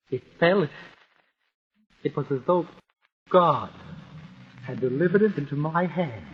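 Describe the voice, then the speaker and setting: Guilt-ridden killer voiced by a man, halting, stuttering, bitter; 1940s radio with faint hiss. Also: medium pitch, close to an everyday voice. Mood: frantic. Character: guilt-wracked man confessing a murder. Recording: dull 1940s radio broadcast.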